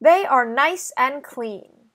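A young woman reading a children's story aloud, enunciating carefully and deliberately for young listeners. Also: high voice, expressive, a bit bright. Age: young adult. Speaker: children's channel host and story reader. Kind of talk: slow, clear children's read-aloud.

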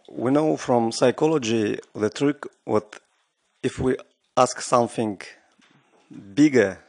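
A man's voice: mid-range voice, darkish tone, dry recording. Man, middle-aged, earnest, conference floor comment, desk mic, dry. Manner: earnest, non-native phrasing, gestures while speaking. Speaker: audience member asking a question. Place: large conference room. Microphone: desk microphone, wearing headset.

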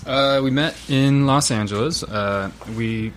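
A man from a band telling how the group formed, relaxed and full of fillers. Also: mid-range voice, a little slow, noisy background. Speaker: band member. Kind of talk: casual interview answers.

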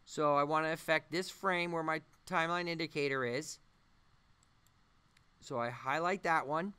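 Guy doing a screen tutorial, kinda high bright voice, talks haltingly while he figures stuff out. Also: steady tone, smooth. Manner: halting, thinking aloud as he works. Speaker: animation tutorial instructor. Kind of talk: unscripted software demonstration. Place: voice-over on a screen-recording tutorial.

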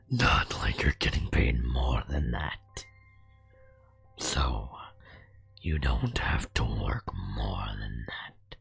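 Casting: male actor for chatty nightmare spirit, casual and gently scolding, hushed ASMR whisper, extremely slow, music underneath. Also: very deep voice, creaky, slightly rough, full character voice. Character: chatty, teasing male nightmare spirit nagging a sleepless worker.

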